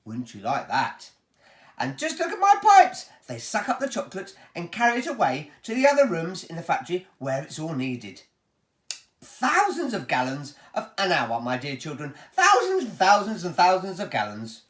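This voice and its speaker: A woman voicing an eccentric, excitable male chocolate-maker who gushes and shows off in a booming, lively voice, recorded in a roomy space. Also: moderate pace. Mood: gushing. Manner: booming, gushing, showy. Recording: roomy echo.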